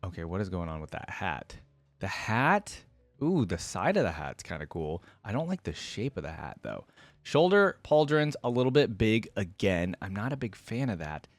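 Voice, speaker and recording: Animated, casual man giving reaction commentary. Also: lowish voice, normal speed, no room sound, soft background music. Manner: informal, opinionated, hedging his opinions. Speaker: reaction video host.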